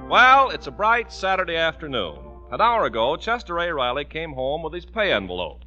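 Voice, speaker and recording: Animated American radio announcer man over music. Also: on the high side, moderate pace. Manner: polished, emphatic, spells out brand name. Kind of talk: 1940s radio announcing, sponsor plug and scripted narration. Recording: muffled vintage radio broadcast.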